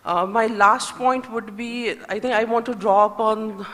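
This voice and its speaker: A woman from Nepal with a Nepali accent, speaking as a conference panelist in a hesitant, halting way, thinking aloud as she makes her points. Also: a little high, fairly even intonation, a bit bright. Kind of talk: semi-spontaneous panel remarks on trade policy. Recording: echoey hall with long reverb.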